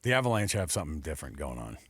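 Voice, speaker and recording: Sports radio host: American man, animated and casual, very varied intonation, dry studio mic. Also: a bass voice, racing, husky, no echo. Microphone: close studio broadcast microphone.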